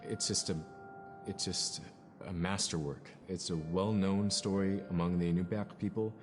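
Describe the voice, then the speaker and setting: Low-voiced man in long reverb, reflective. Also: steady tone, normal speed, noisy background. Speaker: cultural storyteller, documentary interviewee. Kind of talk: reflective documentary interview storytelling. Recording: long reverb, clear music behind. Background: music.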